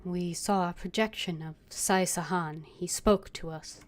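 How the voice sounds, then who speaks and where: A woman's voice: lowish voice, steady tone, measured pace. A woman game streamer, reading character dialogue aloud as she plays.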